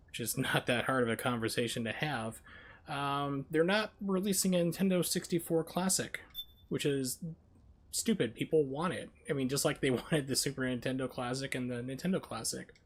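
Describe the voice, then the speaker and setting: A man streaming a video game, giving casual, opinionated and emphatic commentary as he plays. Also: medium pitch. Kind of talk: casual live-stream commentary while playing.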